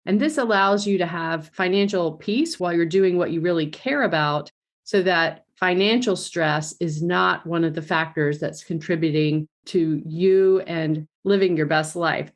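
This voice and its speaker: A woman's voice: on the low side, a little slow. A woman hosting a podcast, introducing her guest and asking questions in an earnest, enthusiastic way. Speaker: podcast host.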